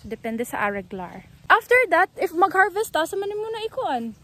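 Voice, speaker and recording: High-voiced young Filipino woman vlogging outdoors. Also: big pitch swings, fairly quick, bright, forceful tone. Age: young adult. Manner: code-switches between English and Filipino languages. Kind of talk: casual, spontaneous vlog chat. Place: outdoors on a rural farm. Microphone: phone at arm's length.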